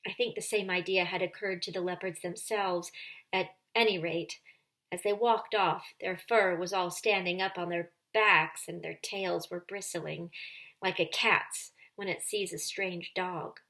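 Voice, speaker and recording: A woman reading a children's story aloud as storyteller, with expressive narration and deliberate, dramatic phrasing. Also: lowish voice.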